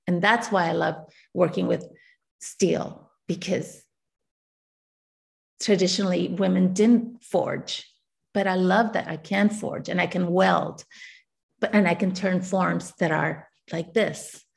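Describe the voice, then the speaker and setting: Frau, vierziger Jahre, aus Chile, rauchig-knarzige Stimme, nachdenklich, lacht oft, Videoanruf. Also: female voice, lowish voice, fairly even intonation, slow pace, creaky. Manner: warm, reflective, laughs often. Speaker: artist interviewee. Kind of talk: casual interview over video call. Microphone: video call.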